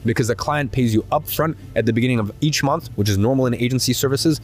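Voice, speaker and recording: A man narrating a scripted sales pitch for his course, fast and emphatic, listing benefits one after another. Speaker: course creator and agency owner.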